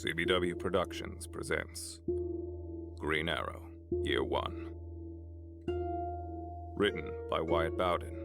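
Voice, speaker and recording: A man's voice: low voice, takes it slowly, vocal fry, pauses often. A man reading scripted credits as an announcer. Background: loud music.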